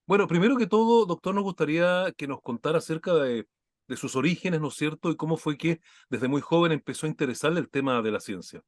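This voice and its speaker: A man's voice: a little high, expressive, fast talker, dry recording, clean studio sound. A man hosting a television interview, formal and thorough as he introduces his guest. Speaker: TV interview host.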